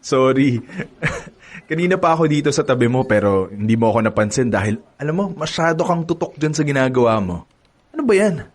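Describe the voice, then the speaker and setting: A man's voice: mid-range voice, animated, fairly quick, close to an everyday voice. A smug young campus heartthrob in a radio drama, teasing and sure of his charm, played by a man. His voice sits in a middle register and his talk is playful and cocky. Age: young adult. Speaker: narrator and lead character.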